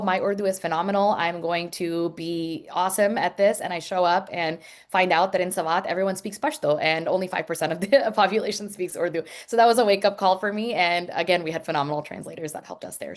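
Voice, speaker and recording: A lower-voiced woman talks frankly about her work as an emergency room nurse. She answers questions over a video call, earnest and unpolished, often pausing on ums and restarting her sentences. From Pakistani heritage.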